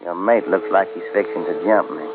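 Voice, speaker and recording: A man's voice: deep voice, steady tone, normal speed. A man playing a menacing, mock-friendly gunman with folksy, drawling talk, in 1940s radio adventure style. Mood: mock-friendly menace. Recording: tinny noisy old radio recording.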